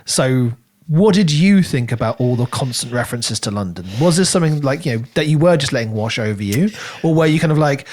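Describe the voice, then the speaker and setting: A man's voice: medium pitch, animated, fairly quick, a little mellow. A man co-hosting a podcast, talking casually and chattily through a book discussion with little self-quoting asides. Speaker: podcast co-host reviewing books.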